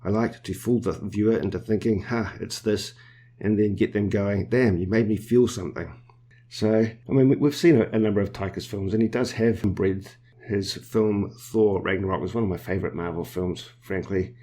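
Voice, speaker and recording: A New Zealand man hosting a podcast, explaining film news in a measured way with small restarts. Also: lowish voice, mellow. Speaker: podcast host. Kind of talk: casual podcast discussion.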